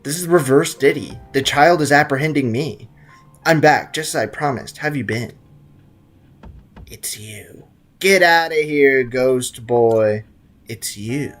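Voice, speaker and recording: A man's voice: medium pitch, takes it slowly, fairly bright tone. A young man casually joking and rambling through a video game playthrough. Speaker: gaming YouTuber. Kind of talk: casual let's-play commentary. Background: game music.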